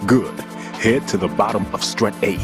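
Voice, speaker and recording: An actor playing a methodical older male bomb-disposal expert in a video game cutscene, measured and precise. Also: on the low side, lightly acted. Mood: calm, focused. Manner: measured, turns to urgent shouting. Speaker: explosives expert on the radio. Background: game score music.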